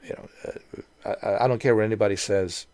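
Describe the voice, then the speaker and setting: Rambling man in his forties, low voice. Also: steady tone, fairly quick, darkish tone, dry recording. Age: 40s. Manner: rambling, many restarts and fillers. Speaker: video creator. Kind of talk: casual nostalgic vlog monologue.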